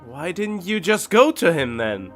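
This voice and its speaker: A game streamer chatting casually while reacting to the game. The voice is mid-pitched and lively in intonation, with faint game music in the background. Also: measured pace. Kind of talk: casual live game commentary.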